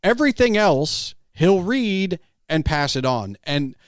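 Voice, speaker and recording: A man's voice: sits high, big pitch swings, takes it slowly, smooth, no room sound, clean studio sound. A man hosting a podcast in a casual, informal way, talking emphatically and repeating his phrases. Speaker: podcast host. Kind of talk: casual chat.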